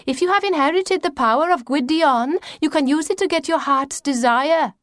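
A woman's voice: high-pitched, moderate pace, a bit bright, no room sound, clean studio sound, voice pushed up. A woman voicing an eccentric, commanding grandmother who makes grand, mystical pronouncements in an audiobook. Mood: portentous. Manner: emphatic, grand, singsong pronouncements.

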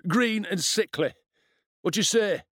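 A man's voice: sits high, measured pace, fairly bright tone, no room sound, clean studio sound, voice pushed up. A man voicing a cruel, swaggering schoolboy bully in an audiobook, sneering and taunting as he talks. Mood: gleeful menace.

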